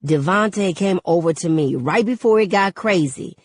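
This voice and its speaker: A bold, chatty teenage girl, put on by a woman narrator from an audiobook novel. She talks in lively slang like a born gossip, with her pitch lifted high. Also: takes it slowly, no echo, clean studio sound, heavily acted. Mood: gleeful gossip. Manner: slangy, animated storytelling.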